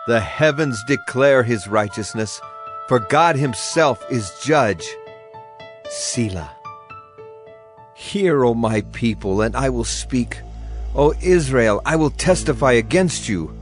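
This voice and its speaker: A man's voice: medium pitch, lively intonation, takes it slowly. A man giving a solemn, declamatory dramatized reading of psalms as a scripture narrator. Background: music.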